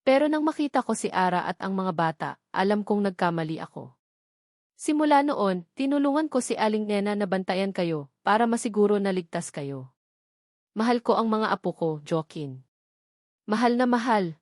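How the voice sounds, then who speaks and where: Filipina narrator as older worried mom, pleading n sorry, audio love story drama, dry sound. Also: female voice, medium pitch, animated, moderate pace, a little mellow, dry recording, lightly acted. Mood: anguished, pleading. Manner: pleading, remorseful. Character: anguished, protective elderly mother and grandmother.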